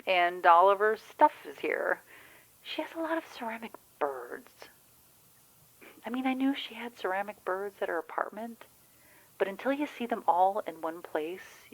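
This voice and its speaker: A woman's voice: fairly low pitch, lightly acted, poor audio. A woman playing a chatty, wry, anxious friend in a naturalistic audio drama, rambling candidly with the odd swear. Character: chatty, wry, anxious Michigan woman on the phone during zombie outbreak.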